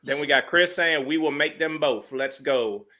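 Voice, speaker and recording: A man's voice: fairly high pitch, moderate pace, crowd noise. A man hosting a livestreamed podcast, chatting casually and reading viewer comments aloud in an upbeat way. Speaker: podcast host.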